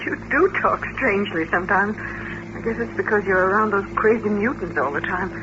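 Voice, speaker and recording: A woman's voice: mid-range voice, normal speed, muffled recording, natural voice. An actress in a 1950s radio drama playing a naive young female chorus dancer. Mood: chatty, open. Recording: noisy, band-limited vintage radio recording.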